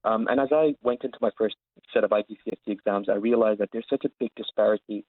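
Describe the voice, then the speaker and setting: A man's voice: lowish voice, steady tone, fast talker, dry recording. A man in his twenties from Saudi Arabia, answering interview questions in an informal, explanatory way over a live call line. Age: 20s. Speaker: interview guest, founder of an education platform. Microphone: remote call line. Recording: narrow phone-like live line.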